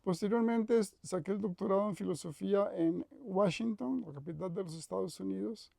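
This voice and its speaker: An older man with a Costa Rican accent recalling his life in an interview, thoughtful and measured, often pausing to correct himself. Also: high voice, gravelly, warm tone, no echo. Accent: Costa Rican Spanish. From Costa Rica. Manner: thoughtful, measured, with frequent restarts and self-corrections. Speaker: retired professor interviewed. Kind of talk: reflective interview reminiscence.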